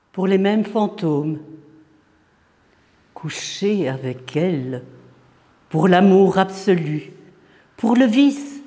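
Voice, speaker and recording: High-pitched man reciting poetry in reverberant hall. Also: mellow. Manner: declamatory, deliberate, phrase by phrase with pauses. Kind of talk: dramatic French poetry recitation. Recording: long reverberant hall echo.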